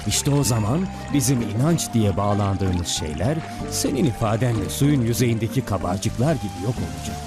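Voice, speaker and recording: An actor playing an anxious, questioning priest in an animated film. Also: male voice, on the low side, normal speed, hardly any pauses, music in the background, natural voice. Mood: worried.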